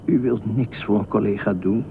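A man's voice: fairly low pitch, moderate pace, gravelly. A man in a radio play acting an eccentric, talkative lifeguard, anxious and pleading, rambling and repeating himself. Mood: pressing, pleading. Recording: tinny band-limited radio play, faint noise.

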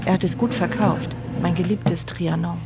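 A woman's voice: lowish voice, steady tone, normal speed, gravelly, loud music behind the voice. An actress voicing a paralysed, blind and mute woman in a radio drama, narrating her inner thoughts in a dry, sardonic, reflective way.

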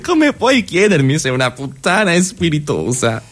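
A man acting in a radio play as a suave, evasive middle-class husband who needles with halting, repeated, pointed questions. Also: on the high side, wildly swooping pitch, a bit bright, full character voice. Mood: cool, condescending.

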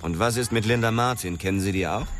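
An actor playing a blunt young detective who questions a suspect in a brusque, pressing way. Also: male voice, lowish voice, quick pace, a little hiss or hum, lightly acted. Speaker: police officer. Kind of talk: radio drama acting.

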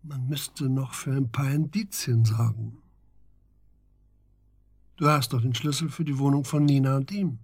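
An actor in a German radio drama playing a scheming, guarded police inspector who speaks tersely. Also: male voice, mid-range voice, steady tone, measured pace, long pauses, a little mellow, husky, no room sound, studio quality. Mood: conspiratorial.

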